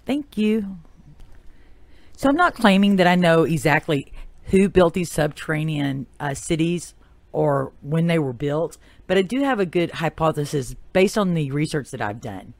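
A host with a noticeable Texas accent reads a prepared narration at a middling pitch, in a steady storyteller's manner. The recording is close and dry, with no room sound at all. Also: moderate pace, no echo. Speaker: podcast host. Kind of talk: reading prepared narration on a mystery topic. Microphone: new desktop microphone.

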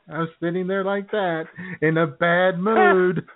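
A man's voice: high-pitched, steady tone, slow pace. A man co-hosting a podcast, chatting casually, joking and sarcastic, laughing often. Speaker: podcast co-host. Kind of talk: casual chat. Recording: tinny phone-like sound.

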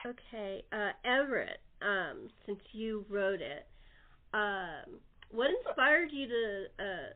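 A woman's voice: medium pitch, very animated, slow pace. A woman hosting a casual cast interview show. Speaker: podcast host and producer. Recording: thin phone-like line.

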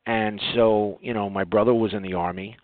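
A man hosting an interview show in a conversational, halting way, often repeating words as he works toward his point. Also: lowish voice, steady tone, no room sound, phone-quality sound. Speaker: radio interview host.

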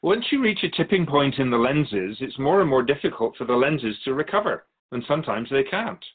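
A man's voice: mid-range voice, big pitch swings, narrow, tinny audio. A man playing a wise otherworldly keeper of souls in a radio drama, courteous, philosophical and wry as he tells stories. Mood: grave, earnest. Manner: measured, philosophical, gently challenging. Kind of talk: radio drama dialogue, storytelling.

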